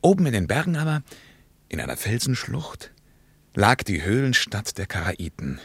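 A middle-aged traveler, deep and animated, dry studio, radio drama storyteller. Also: male voice, moderate pace, breathy edge, no echo, exaggerated voice. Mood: reminiscing. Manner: lively, wistful, insistent. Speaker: travel companion. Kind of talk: radio play acting.

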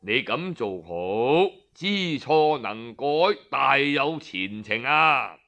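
A man voicing a wise, modest ancient prime minister in Cantonese, calm and composed in a storybook tale. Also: medium pitch, voice pushed down, full character voice. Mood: calm approval. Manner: calm, measured.

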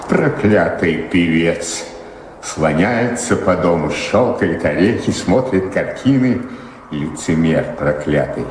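An actor playing an indignant, scolding manservant of a noble house in a radio drama. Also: male voice, sits low. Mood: bitter muttering. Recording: reverberant hall sound with faint noise.

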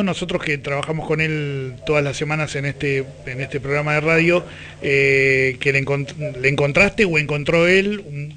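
A man's voice: fairly high pitch, fairly bright tone. An Argentine man hosting a conversational radio interview. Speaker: radio show host.

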